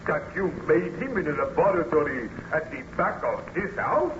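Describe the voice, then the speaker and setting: An actor playing a dutiful, deferential officer leading a search party, with formal, stagy old-time radio delivery. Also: male voice, fairly high pitch, sing-song, moderate pace. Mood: probing, incredulous. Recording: dull, noisy old radio recording.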